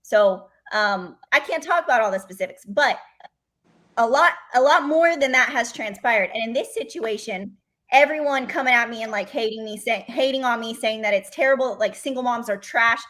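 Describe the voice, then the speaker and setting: Earnest pregnant woman, fairly high voice. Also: normal speed, a bit bright. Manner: earnest, emphatic, many restarts. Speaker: guest. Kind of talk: candid personal account on a call-in podcast.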